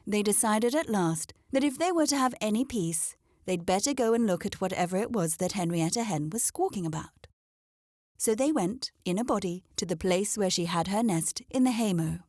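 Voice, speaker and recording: A woman's voice: medium pitch, animated, moderate pace, darkish tone, dry recording. Woman storyteller, dry close sound. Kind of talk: scripted children's story narration.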